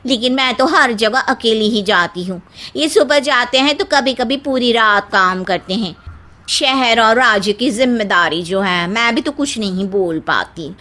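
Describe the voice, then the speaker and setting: A woman's voice: a little high, lively intonation, a little slow, pushed and bright. A woman voicing a polite, chatty younger married cousin in a family story, speaking deferentially. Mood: wistful, complaining. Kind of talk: dramatised Hindi family storytelling.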